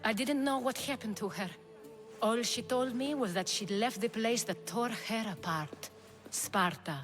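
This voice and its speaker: A woman's voice: on the high side, a little slow, over music, close to an everyday voice. An actress playing a proud, commanding female leader in a video game, with a poised, dramatic delivery. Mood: reflective. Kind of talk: video game cutscene acting.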